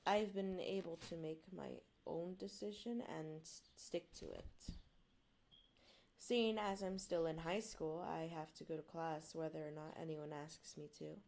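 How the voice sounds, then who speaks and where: A woman's voice: deep voice, fairly even intonation, a little slow, creaky, darkish tone. A woman who is not a native English speaker, chatting apologetically on a stream and reading a book aloud. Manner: apologetic, rambling, self-deprecating. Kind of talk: casual stream chat and read-aloud narration.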